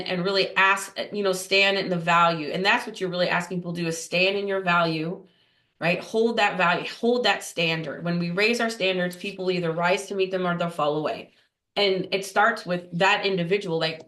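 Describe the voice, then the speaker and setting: Woman, podcast host, somewhat low pitch, upbeat chatty manner, roomy echo. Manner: lively, upbeat, laughs easily. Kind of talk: conversational interview.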